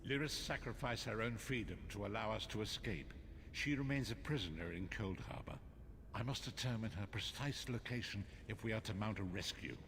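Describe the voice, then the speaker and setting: An actor playing an old blind prophet and guide in a fantasy video game, speaking in a solemn, measured, formal manner. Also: male voice, on the low side, creaky, a bit bright. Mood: solemn, urgent. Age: older.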